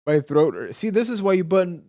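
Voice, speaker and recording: A man doing live let's play commentary as a gaming streamer, chatting casually with animated, exclamatory reactions. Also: high-pitched, brisk, slight background noise. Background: faint game music and sounds.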